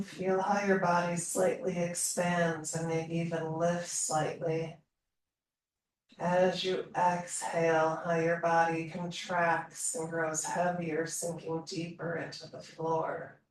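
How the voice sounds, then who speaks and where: Echoey, slow-paced woman guiding meditation. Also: lowish voice. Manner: calm, soothing, long pauses between phrases. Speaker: guided meditation teacher. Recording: roomy echo, distant mic, faint noise.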